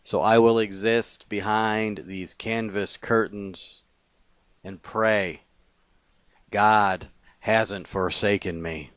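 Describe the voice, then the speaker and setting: A man reading his own confessional poetry as a poet, in deliberate short phrases with pauses between them. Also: on the low side, fairly even intonation, a bit bright, no room sound.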